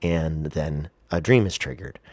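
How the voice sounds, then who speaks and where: A man's voice: a bass voice, animated, a little slow, vocal fry, darkish tone, no echo. A man with a congested, stuffy nose chats casually as a vlog host, rambling and hesitant in a self-deprecating way, close to the mic. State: congested, sniffling. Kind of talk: casual personal chat to camera. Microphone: close to the face.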